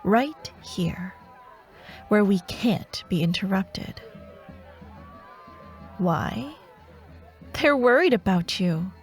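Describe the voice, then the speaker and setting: A woman playing a menacing dark warlord who haunts nightmares, teasing and taunting in a fantasy ASMR audio roleplay. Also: medium pitch, animated, faint music behind. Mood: mocking, sinister. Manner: teasing, taunting, slow and deliberate, slipping toward whispers.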